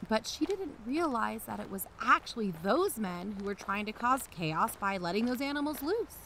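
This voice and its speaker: A woman playing an excitable amateur investigator who hosts a podcast, in a scripted audio drama. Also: medium pitch, slight background noise, poor audio. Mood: incredulous. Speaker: podcast host.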